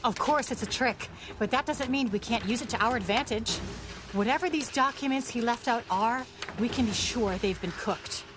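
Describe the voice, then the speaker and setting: A woman playing a shrewd, defiant amateur sleuth in an audio drama, brisk and skeptical. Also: on the high side, lightly acted. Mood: urgent, wary.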